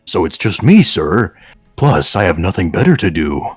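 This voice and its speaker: A man's voice: low voice, big pitch swings, normal speed, husky, dry recording, clean studio sound, faint music behind, pitched below the performer's own voice, heavily acted. A man voicing a courteous golden animatronic lion with formal, polite manners in a comic fan fiction. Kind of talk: comedic fan fiction read-aloud.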